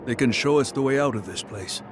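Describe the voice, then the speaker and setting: An actor voicing a thoughtful, measured soldier companion in a game. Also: male voice, medium pitch, music in the background, lightly acted. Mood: calm.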